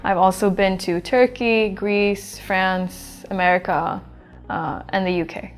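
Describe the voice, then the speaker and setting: A woman in her twenties from Sweden, an English teacher answering interview questions in a relaxed, chatty way. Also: mid-range voice, slow, faint music behind. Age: 20s. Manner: relaxed, chatty, thinks aloud with fillers.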